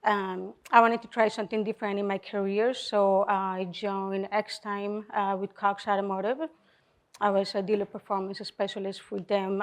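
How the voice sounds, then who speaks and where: A middle-aged woman from Colombia, with a Colombian Spanish accent, speaking on a panel into a handheld mic with frequent fillers. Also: mid-range voice. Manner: plain, steady, with frequent fillers. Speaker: panelist, general manager. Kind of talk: panel talk. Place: event venue. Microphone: handheld microphone.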